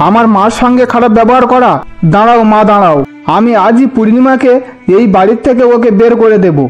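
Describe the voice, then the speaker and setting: A man's voice: very high-pitched, muffled recording. A man voicing a hot-headed, indignant young husband devoted to his mother in a fairy-tale cartoon. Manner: indignant, threatening.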